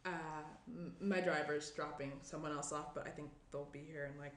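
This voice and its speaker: A woman's voice: low-pitched, steady tone, brisk, a bit bright. Actress as guarded, wry young woman in an indie drama read, natural delivery, echoey room, faint noise. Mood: tense, awkward. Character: tense, guarded young woman, sarcastic morning-after guest. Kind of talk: naturalistic screenplay table read. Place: small indoor room at a table. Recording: roomy, faint background noise.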